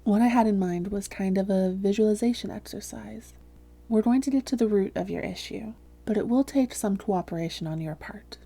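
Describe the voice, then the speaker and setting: A woman playing a calm female vampire therapist in a roleplay audio, speaking softly, gently and in a measured, reassuring way. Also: medium pitch. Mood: soothing, hypnotic. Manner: measured, gentle, reassuring, breathy hushed phrases. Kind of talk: soft-spoken roleplay audio, therapist addressing listener.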